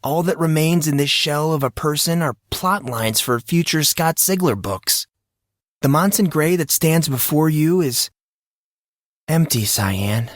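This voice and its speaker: A man's voice: fairly high pitch, expressive, unhurried, dry recording, clean studio sound, voice pushed up. A man voicing an intense, troubled teenage boy in an audiobook drama, earnest and self-deprecating, with impassioned, dramatic delivery. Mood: anguished confession.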